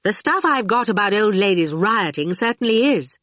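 A haughty, ageless beauty who rules her town voiced by a woman audiobook reader. She sounds gracious on top and cold underneath, with lively swings of pitch in a dry recording. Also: normal speed, dry recording. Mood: angry, peeved. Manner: pouting, cold then gracious. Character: haughty, glamorous, ageless woman ruler, sweetly condescending, icily angry. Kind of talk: audiobook character voice.

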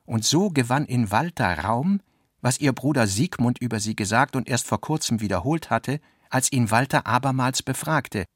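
A man narrating a literary radio drama in a deliberate manner, with a gravelly voice. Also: mid-range voice, lively intonation, dry recording, studio quality.

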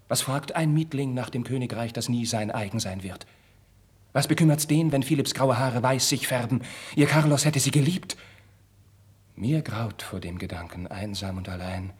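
An actor playing an impassioned, proud young Spanish crown prince in a 1950s German radio drama, with declamatory, theatrical diction and a slightly husky voice. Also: male voice, medium pitch, a bit bright. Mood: fiery, defiant, then tender, longing.

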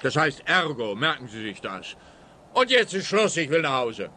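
A man's voice: medium pitch, big pitch swings, normal speed, sharp tone, slight background noise. An actor playing a grumpy, officious, dim-witted policeman who barks and nitpicks in a comic radio play. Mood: barking, impatient. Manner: officious, barking, pedantic.

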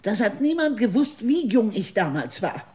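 A woman's voice: a little high, big pitch swings, normal speed, a little mellow. A timid, forgetful old woman. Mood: fearful. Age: older. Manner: hesitant, fearful, repeats herself. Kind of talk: radio drama acting.

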